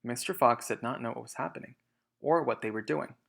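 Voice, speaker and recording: A man's voice: medium pitch, a little fast, creaky, a bit bright. A man reading a storybook aloud as a teacher, emphatic in narration and explanatory in asides.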